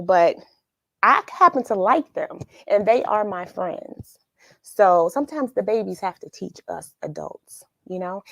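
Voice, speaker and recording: A woman's voice: medium pitch, no room sound. A woman hosting a children's read-along, chatting casually and expressively to young viewers and musing aloud as she addresses them. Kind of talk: casual chat to young viewers after a story reading. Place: at home.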